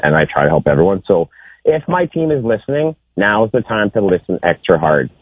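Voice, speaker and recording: Raspy deep-voiced guy calling in on a tinny phone, low pitch, jokey, voice swoops around a lot. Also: sing-song, brisk, no echo. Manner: joking, hums and sings song snippets. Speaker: caller. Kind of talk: casual radio call-in game.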